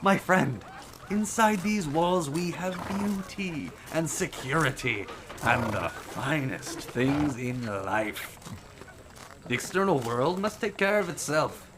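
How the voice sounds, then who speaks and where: An actor playing a haughty, exuberant, self-deluded prince in a theatrical, declamatory audio drama style. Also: male voice, a little high, lively intonation, takes it slowly, noisy background. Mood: boastful delight. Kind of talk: full-cast audio drama acting.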